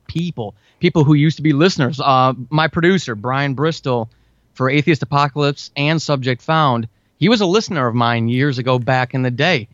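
A man's voice: mid-range voice, a bit bright, no room sound. Man in casual interview, dull dry recording. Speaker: podcast guest, writer and audio drama podcaster. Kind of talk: casual interview talk, giving advice. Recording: dull, lo-fi, dry close sound.

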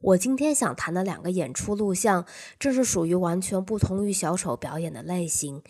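A woman's voice: fairly low pitch, lively intonation, normal speed, a little mellow. A woman podcast host reading a scripted, first-person arts review.

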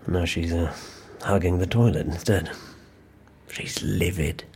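A man's voice: low voice, steady tone, a little slow, darkish tone, slight background noise. An actor playing a tired, hapless male office worker, sheepish and hesitant, in naturalistic audio drama dialogue. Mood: weary, sheepish.

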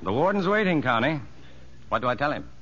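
An actor playing an earnest, rueful prison psychologist in a 1950s radio drama, speaking and narrating in a measured, educated way. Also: male voice, mid-range voice, sing-song, background noise, close to an everyday voice. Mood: businesslike. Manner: measured, educated, gently self-deprecating. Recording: muffled old radio broadcast with music.

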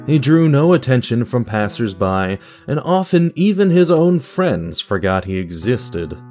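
A man narrating a scripted horror story in a measured, dramatic radio theatre style. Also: medium pitch, sing-song, a little mellow. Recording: music under the voice. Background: music.

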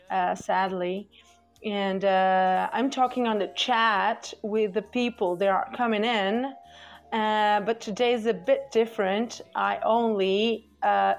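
A woman's voice: mid-range voice, vocal fry. A Portuguese woman, whose first language is Portuguese, hosts a live show in English with a Portuguese accent, chatting between songs and often searching for words. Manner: unhurried, searching for words with many fillers. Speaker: radio show host.

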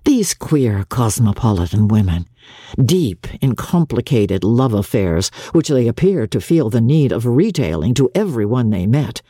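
A woman reading a classic novel aloud as a dramatic audiobook narrator, in a measured, expressive way with deliberate phrasing. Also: extremely low pitch, slightly rough, no echo, studio quality.

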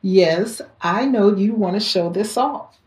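An actress playing a seductive, scheming rich wife in a theatrical, coaxing audio drama style. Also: female voice, medium pitch, takes it slowly, darkish tone, natural voice. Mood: coaxing, sweet. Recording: roomy echo, faint music behind.

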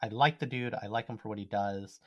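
A man's voice: fairly low pitch, fairly quick, dry recording. American man chatting, dry close sound. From United States. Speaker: podcast co-host. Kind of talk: casual conversational discussion.

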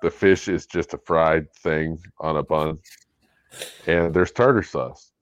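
A man's voice: a bass voice, steady tone, slow, dry recording, lo-fi sound. A laid-back man chatting on a podcast, blunt and casually swearing. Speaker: podcast panelist. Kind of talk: casual chat.

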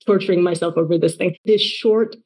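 A woman's voice: on the low side, fairly even intonation, a little fast, a little mellow, breathy edge. A young adult woman from Taiwan, a novelist talking about her book in a casual interview, full of fillers and restarts. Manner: chatty, many fillers and restarts. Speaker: novelist guest.

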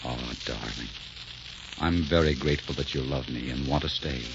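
An actor playing a passionate, brooding sheik with a temper in a 1940s radio romance, murmuring theatrically. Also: male voice, extremely low pitch, moderate pace. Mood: ardent. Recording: muffled 1940s radio recording, music, hiss.

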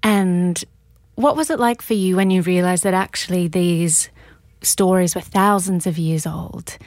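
A woman's voice: fairly low pitch, unhurried, darkish tone, no echo, clean studio sound. A woman hosting a podcast interview, asking questions and chatting informally in a conversational style.

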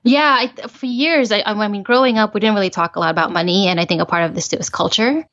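A woman's voice: medium pitch, quick pace, a bit bright. A woman in her thirties from the Philippines, a podcast guest explaining her views earnestly in casual conversation. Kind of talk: casual interview chat. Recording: muffled lo-fi audio.

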